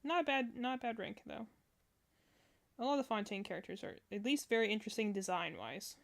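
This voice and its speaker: A woman's voice: a little high, fairly quick, gravelly. Woman streamer chatting casually. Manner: rambling, thinking aloud. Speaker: streamer, reaction host. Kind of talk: casual livestream commentary.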